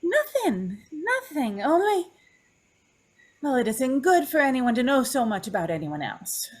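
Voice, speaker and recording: A woman's voice: sits high, sing-song, measured pace, breathy edge, full character voice. A woman playing a troubled, pleading young woman in an old-time radio drama, speaking hesitantly and with emotion. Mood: anxious. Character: distraught young condemned woman confessing to dead mother.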